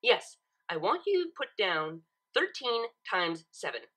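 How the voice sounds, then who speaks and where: A skeptical, bookish female cartoon pony, performed by a woman reader with a bright, forceful tone. She is sarcastic and easily flustered. Her emphatic delivery rises and falls dramatically. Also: mid-range voice, big pitch swings, a little slow. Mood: skeptical, challenging.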